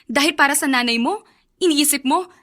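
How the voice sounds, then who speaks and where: A woman's voice: high voice, fairly quick, fairly bright tone. A woman playing a distressed, reproachful young girlfriend in a Filipino radio drama, with a Filipino accent.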